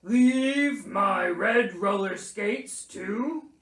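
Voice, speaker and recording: Woman doing a loud, grumpy, melodramatic sick bear. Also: mid-range voice. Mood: ailing, pompous. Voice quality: gruff, growly. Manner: loud, pompous, melodramatic, drawn-out. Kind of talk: children's storybook character voice. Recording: roomy echo.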